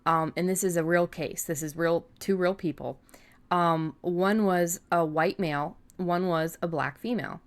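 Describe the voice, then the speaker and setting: A woman's voice: on the low side, moderate pace. A woman co-hosting a podcast, talking casually and informally about writing, often rambling and correcting herself. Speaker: podcast co-host. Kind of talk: casual writing-advice discussion.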